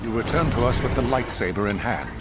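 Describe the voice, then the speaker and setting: An actor playing a stern, scheming Sith master in a video game, speaking in a formal, measured, pompous way. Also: male voice, medium pitch, very noisy, close to an everyday voice. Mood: solemn, commanding. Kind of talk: theatrical video game villain acting.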